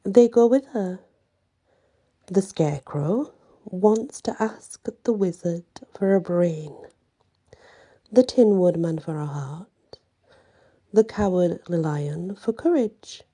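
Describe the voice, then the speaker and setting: A woman reading a bedtime story in a soft, breathy ASMR style with an unhurried, halting delivery. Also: on the low side, animated.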